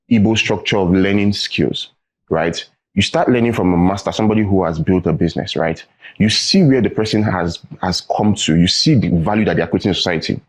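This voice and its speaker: Husky-voiced Nigerian man explaining tech. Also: lowish voice. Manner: earnest, explanatory, frequent "right?" and "you know". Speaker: tech training founder, guest. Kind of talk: studio interview.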